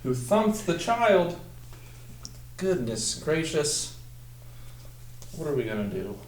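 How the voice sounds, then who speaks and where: A young man doing an instructional picture-book read-aloud in a deliberate manner, drawing out the text and putting questions to young listeners. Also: a little high, very animated, slight background noise. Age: young adult. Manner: deliberate, instructional, drawn-out when reading the text. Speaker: student teacher practicing a read-aloud. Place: small room at a table, indoors. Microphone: camera mic a few feet away. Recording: roomy echo, distant microphone.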